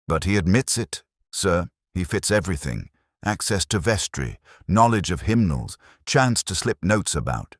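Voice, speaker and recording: A man voicing an excitable, blunt, impatient police sergeant in a mystery audiobook. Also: deep voice, a little slow, no echo, studio quality. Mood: disdainful, exasperated. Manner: blustering, blunt, exasperated. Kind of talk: mystery audiobook character dialogue.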